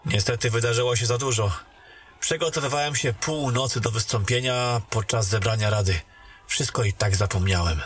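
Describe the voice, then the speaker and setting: A man playing a calm, weary warrior. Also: mid-range voice, moderate pace, slight background noise, close to an everyday voice. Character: weary male warrior back from a mission. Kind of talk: fantasy audio drama acting.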